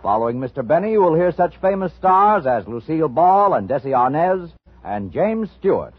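A man's voice: fairly high pitch, sing-song, moderate pace, husky. An American man making dramatic announcements as the host of a 1950s radio show. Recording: muffled vintage radio recording.

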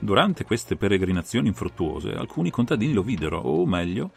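A man performing an analytical gentleman detective recounting a solved case in a dramatized audiobook, with measured, theatrical phrasing. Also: on the low side, big pitch swings, creaky, soft background music. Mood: measured, grave. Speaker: audiobook reader and interpreter.

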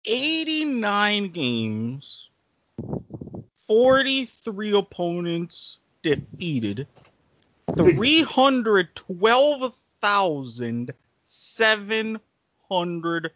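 A man's voice: high voice, very animated, very slow, a bit bright, lo-fi sound, slight background noise. A man co-hosting a podcast in casual banter, speaking in an emphatic, wordy, salesman-like way. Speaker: podcast co-host. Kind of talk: casual banter and ad-libbed sponsor read.

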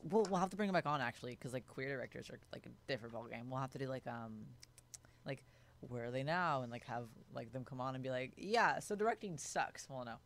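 A man co-hosting a podcast who edits for a living. He talks in an animated, rambling way and leans heavily on fillers. He laughs often between thoughts. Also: medium pitch, fast, slight background noise. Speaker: podcast co-host and editor. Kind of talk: casual chat.

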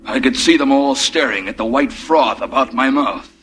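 A man's voice: medium pitch, moderate pace, a bit bright, a little hiss or hum, lightly acted. An actor playing a lovesick, anxious werewolf posing as an office man, in melodramatic 1950s radio comedy style. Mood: confessional, wry.

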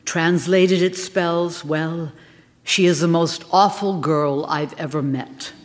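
A woman's voice: on the low side, fairly even intonation, slow pace. A woman voicing an imperious, dramatic famous stage actress who talks in a sulky, indignant way. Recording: echoey reverberant room.

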